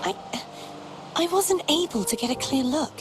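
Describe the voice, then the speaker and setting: An actress playing a composed, wistful young maiden living in isolation, with formal, measured phrasing in scripted game dialogue. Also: female voice, high-pitched, animated, background noise. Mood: hesitant, searching. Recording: game music behind the voice.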